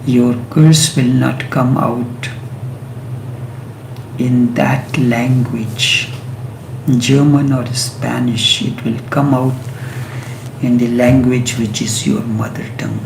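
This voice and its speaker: A man whose first language is Hindi, giving a slow, meditative spiritual talk with long pauses and drawn-out chanted sounds. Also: mid-range voice, steady tone, gravelly, reverberant, background noise. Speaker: spiritual teacher.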